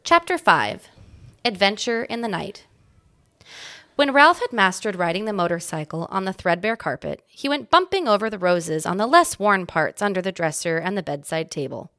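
A woman's voice: medium pitch, animated, moderate pace, pushed and bright, no room sound, studio quality. A woman narrating a children's storybook expressively as a guest reader.

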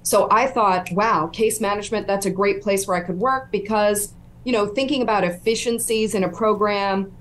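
A middle-aged woman speaking as a podcast guest, with a voice of middling pitch for a woman. She recounts her experience earnestly, step by step. She sounds steady and engaged in the conversation. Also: moderate pace, slight background noise. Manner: earnest, storytelling. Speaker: whistleblower guest. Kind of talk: interview.

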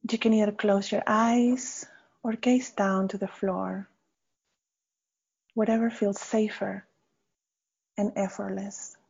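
A woman leading a guided meditation in a gentle, unhurried manner with pauses between short phrases. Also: medium pitch, fairly even intonation, gravelly, husky. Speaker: meditation guide, faculty member. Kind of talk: guided body scan meditation. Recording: slightly dull, muffled audio.

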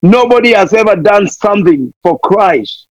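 High-voiced, fervent preacher man. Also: lively intonation, normal speed, no echo. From Kenya. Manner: impassioned, repeats pleas, wordplay. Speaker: missionary preacher guest. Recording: dull lo-fi dry sound.

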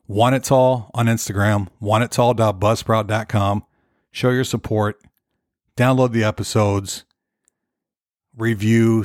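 Man, hoarse voice, lowish pitch, dry close sound, casual rambling podcast host. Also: dry recording. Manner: rambling, loose and chatty. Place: Nashville.

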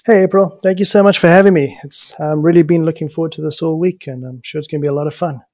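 A man's voice: on the high side, steady tone, quick pace, a little mellow, dry recording, studio quality. A man with a mixed English and South African accent, a real estate agent answering interview questions in a friendly way with fillers like uh. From England, raised in South Africa. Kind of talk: interview conversation.

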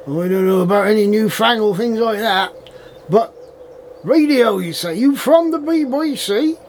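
A man's voice: sits high, a little slow, a little hiss or hum. A man playing a suspicious, chatty, old-fashioned farm manager with a rural English accent and folksy dialect in an audio drama. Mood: puzzled. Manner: folksy dialect, addresses others as young lad.

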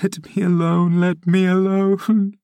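A male narrator plays a dying young woman in a classic audiobook drama, pitched well up from his usual voice. She is passionate and desperate, pleading in breathless, sobbing bursts. The sound is dry and close. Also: level tone, slow, mellow, clean tone, no room sound. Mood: sobbing, forgiving. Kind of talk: dramatised classic novel reading.

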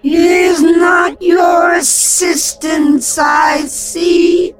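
A cold, menacing ghost of a murdered young woman, played by a woman, speaking from beyond the grave in an audio horror story. Her voice sits very high and drags out each word, slow and cruel, often rising to a shout. Music plays clearly underneath. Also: little pitch movement, exaggerated voice.

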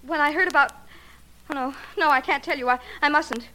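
A frightened young woman in an old radio mystery. Her voice is very high and urgent, faltering as she pleads in melodramatic 1940s style. A light layer of noise sits on the vintage recording. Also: a little fast, sharp tone, poor audio. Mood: terrified, pleading.

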